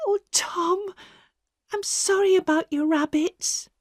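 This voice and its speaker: A woman voicing an emotional, eager nine-year-old girl in a storybook reading, high and pleading. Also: fairly even intonation, slow, no echo, studio quality.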